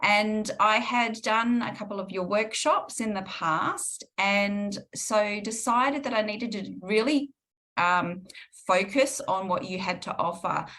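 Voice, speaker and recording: A woman's voice: medium pitch, slow pace, a bit bright. Australian woman, middle-aged, reflective. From Perth, Western Australia. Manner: reflective, with many ums and restarts. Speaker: guest healing practitioner. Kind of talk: interview answers.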